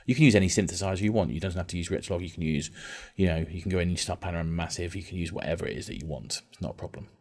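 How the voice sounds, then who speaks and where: A man teaching music technology in an informal software tutorial, explaining step by step and restating key points as he goes. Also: low-pitched, racing.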